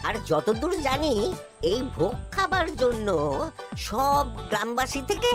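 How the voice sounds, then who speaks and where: A man playing a simple, trusting village husband in a comedy cartoon, excitable and repeating his words. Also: extremely high pitch, measured pace, full character voice. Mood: curious. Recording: music behind the voice.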